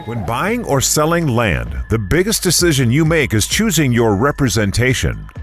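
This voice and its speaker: A man's voice: mid-range voice, huge pitch swings, normal speed, fairly bright tone. A man voicing a scripted radio advertisement in a polished, salesy delivery. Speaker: ad announcer. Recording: music bed behind.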